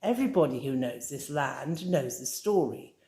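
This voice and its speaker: Brief: a woman playing a haughty, grave storyteller in a storybook, slow, in an echoey room. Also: lively intonation, dark tone. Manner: grave, patronizing, low and deliberate.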